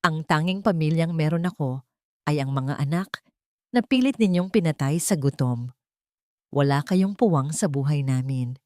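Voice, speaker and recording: A woman's voice: deep voice, animated, warm tone, dry recording, studio quality. A woman with a Filipino accent voicing a proud, guarded wronged mother in an audiobook, with restrained delivery. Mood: cold contempt. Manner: restrained, measured. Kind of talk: audiobook character dialogue.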